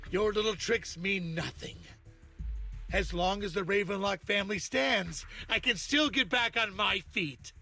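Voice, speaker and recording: Shrill, gravelly, furious older uncle. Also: male voice, a little slow, bright, forceful tone, soft background music, heavily acted. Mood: defiant rage. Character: furious, arrogant older uncle, scheming family head.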